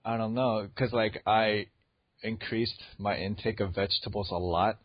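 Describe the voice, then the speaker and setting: A man's voice: fairly low pitch, fairly even intonation, moderate pace, dry recording, lo-fi sound. A man in his twenties co-hosting a podcast in casual, teasing chat. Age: 20s. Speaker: podcast co-host.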